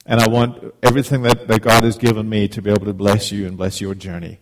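A man's voice: lowish voice, little pitch movement, fast, poor audio. A middle-aged man from Zimbabwe, giving an informal, heartfelt sermon as a guest preacher, often repeating words as he starts a phrase.

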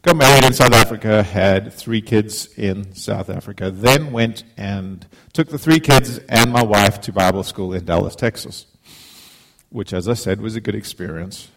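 A man's voice: lowish voice, moderate pace, vocal fry, fairly bright tone. A middle-aged man from Zimbabwe, giving an informal, heartfelt sermon as a guest preacher, often repeating words as he starts a phrase.

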